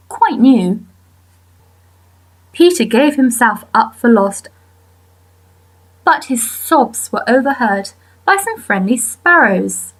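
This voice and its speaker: Slow, expressive woman storyteller. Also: on the high side, poor audio. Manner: expressive storytelling with deliberate pauses. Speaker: audiobook narrator. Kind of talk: scripted children's story narration.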